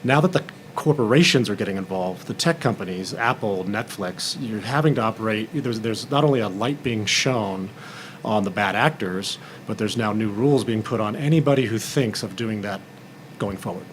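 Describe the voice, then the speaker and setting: Man, low voice, candid producer at a roundtable, informal delivery, slight background noise. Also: normal speed. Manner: informal, plain-spoken, explanatory. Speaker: film and TV producer on a panel.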